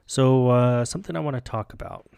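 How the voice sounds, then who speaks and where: A low, gravelly man whose first language is Spanish, talking in a dry, close-miked way. He co-hosts a podcast, rambles loosely and drops sarcastic asides. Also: a little fast, a little mellow, no echo. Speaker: podcast co-host. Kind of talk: casual chat.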